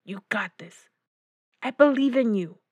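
Woman voicing a gentle, encouraging uncle. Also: medium pitch, normal speed, dry recording, lo-fi sound, lightly acted. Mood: tender, encouraging. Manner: tender, reassuring, mixes in Spanish endearments.

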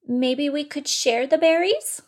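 Woman playing a high-voiced, sulky young bunny. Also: a little slow, a little mellow. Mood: trying politeness. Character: young male bunny, hot-tempered, sulky but trying.

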